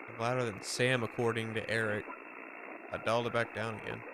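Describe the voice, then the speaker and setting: A man's voice: mid-range voice, little pitch movement, fairly quick. A man on a ham radio call, speaking plainly while he adjusts his audio gear. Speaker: radio caller. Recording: noisy radio signal.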